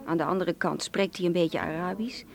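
A woman's voice: fairly low pitch, steady tone, fairly quick, vocal fry. A woman playing a smuggler boss's amused, flirtatious and ruthless sister in a radio drama, with a cool, teasing delivery.

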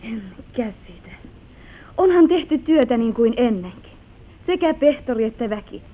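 A bewildered, anxious wife pleads in a slightly high, fretful voice. The old radio drama recording is thin and narrow. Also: female voice, lively intonation. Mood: pleading.

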